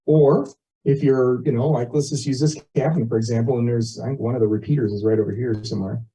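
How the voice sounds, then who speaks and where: A man's voice: medium pitch, steady tone, fast talker, mellow. A man giving an informal explanatory presentation at a community meeting, talking conversationally and using everyday examples. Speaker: resident presenting an internet proposal. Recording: muffled, dull meeting audio.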